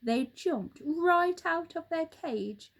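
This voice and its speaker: A woman reads a children's book aloud. Her voice is high and very lively in its rise and fall. She takes her time, phrasing each line deliberately for drama. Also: gravelly. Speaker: storyteller. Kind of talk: expressive children's story reading.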